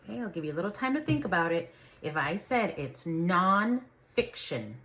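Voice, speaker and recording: A woman's voice: on the low side, sing-song, fairly bright tone. A woman teacher reading a picture book aloud to children, stopping to ask questions and explain things in simple words. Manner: instructive, pausing to question and explain simply to young listeners.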